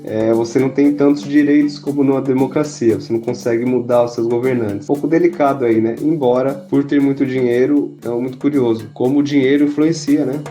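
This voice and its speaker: A man with a Brazilian accent co-hosting a podcast, explaining in a relaxed way with frequent tag questions. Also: medium pitch, a little fast, hardly any pauses, faint music behind. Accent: Brazilian Portuguese. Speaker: podcast co-host. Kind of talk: casual podcast chat.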